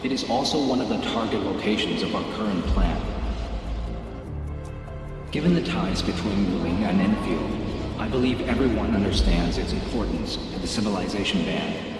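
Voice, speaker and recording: A man playing a measured, courteous delegate in a video game, speaking formally and politely. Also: lowish voice, lightly acted. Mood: measured, serious. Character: measured, courteous male delegate reporting a threat. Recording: cavernous echoey hall, distant, music behind.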